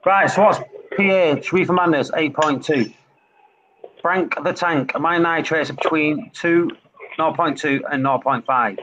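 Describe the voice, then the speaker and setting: A man's voice: a little high, unhurried. A British man talking casually in an online hobby chat. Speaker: community chat participant. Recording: dull lo-fi voice chat, faint noise.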